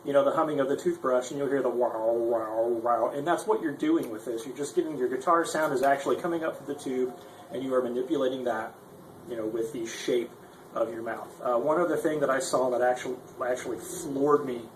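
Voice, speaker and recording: A man giving an informal, unscripted gear demonstration in a rambling, self-deprecating, conversational way, as the presenter of a guitar tutorial. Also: mid-range voice. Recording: echoey room with faint noise.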